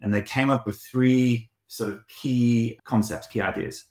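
A man's voice: mid-range voice, fairly even intonation, measured pace, a little mellow, echoey room. A man, a design studio director, answering interview questions in a reflective, explanatory way.

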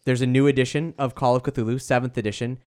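A man's voice: mid-range voice, fairly even intonation, quick pace, a little mellow. A man hosting a podcast discussion in a conversational style. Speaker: podcast host leading the discussion.

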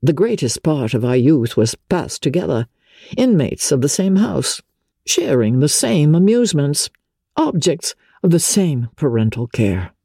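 A woman voicing an aggrieved young Regency gentleman in a dramatic audiobook reading, measured, confiding and mournful in manner. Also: sits low, big pitch swings, slightly rough, no echo, clean studio sound, full character voice. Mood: earnest, aggrieved.

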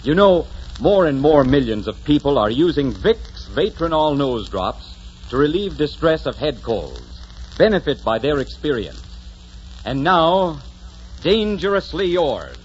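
A man's voice: on the high side, sing-song, unhurried. A man reading scripted announcements in 1940s radio announcer style. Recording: muffled, faintly noisy 1940s radio recording.

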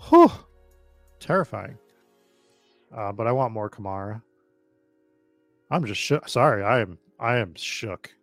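A man's voice: on the low side, expressive, a little slow, long pauses, no echo, soft background music. An American man from the Boston area chatting informally and swearing freely as he hosts a casual live draft stream commentary. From Boston area, United States. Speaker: host of a fantasy football draft stream.

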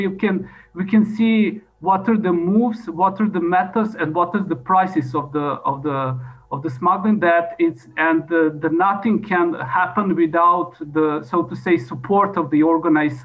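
A man who is not a native English speaker gives a formal expert presentation in halting, repetitive phrasing. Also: a little high. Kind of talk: formal presentation, partly improvised. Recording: dull lo-fi audio.

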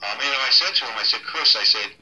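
A middle-aged man, a ring announcer, talking casually in an interview, relaxed and halting with many ums and restarts. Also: fairly low pitch, distant mic, rough recording. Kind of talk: casual interview over a call.

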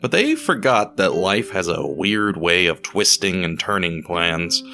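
A man reading a scripted story as narrator, in a measured, dramatic way with deliberate pauses. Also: sits low, very animated, gravelly, bright, forceful tone. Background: music.

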